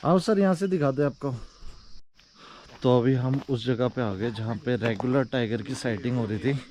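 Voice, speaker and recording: A man's voice: medium pitch, moderate pace, darkish tone, a little hiss or hum. A man hosting a casual vlog interview, agreeable and often echoing what the other person says. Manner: agreeable, echoes the other speaker's words. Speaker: vlog host interviewing a local. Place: outdoors in a hill village.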